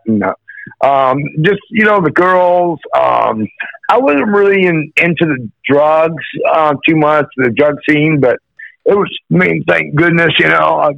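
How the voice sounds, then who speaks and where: Male musician calling in, a bit rough-voiced, meandering and halting, on a muffled dry line. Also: medium pitch, no echo. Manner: rambling, many restarts and fillers. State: slightly rough voice after rehearsal. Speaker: musician guest on the phone. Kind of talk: phone interview, reminiscing. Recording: phone call, dull and dry.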